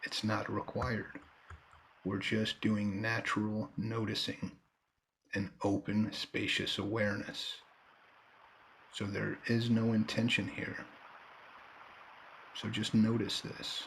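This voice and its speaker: A man leading a guided meditation in a calm, unhurried manner, leaving long pauses between short phrases. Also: lowish voice, gravelly, slight background noise, low-quality recording. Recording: background nature ambience and birdsong. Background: nature and bird sounds.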